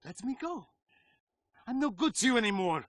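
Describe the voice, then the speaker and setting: A man's voice: very high-pitched, very animated, moderate pace, fairly bright tone, dry recording, clean studio sound, exaggerated voice. An actor playing a frightened male captive in a video game cutscene, panicky and pleading. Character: frightened male captive begging an agent for release.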